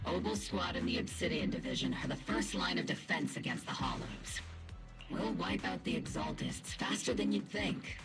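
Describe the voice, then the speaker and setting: A woman's voice: fairly low pitch, lively intonation, normal speed, creaky. A woman voicing a stern, smirking army captain in a video game, with a dry, commanding manner. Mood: assured. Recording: loud music underneath. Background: loud game music.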